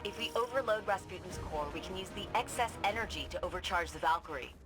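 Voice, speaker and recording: An actress playing a driven, quick-thinking engineer who gives urgent, direct instructions. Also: female voice, fairly low pitch, music in the background, lightly acted. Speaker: engineer guiding the player.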